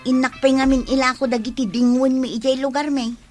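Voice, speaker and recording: A woman's voice: sits high, flat delivery, fairly quick, a little mellow, faint music behind. A woman playing a young village niece in an Ilocano radio comedy drama, with an Ilocano accent. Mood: polite, explaining.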